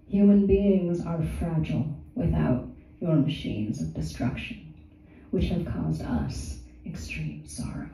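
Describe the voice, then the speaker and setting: A woman voicing a solemn, stern alien envoy with slow, measured, formal speech, read aloud in a small gallery. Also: deep voice, gravelly, warm tone, exaggerated voice. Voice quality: resonant, lucid, rolling. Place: small art gallery, indoors. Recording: echoey gallery from far off.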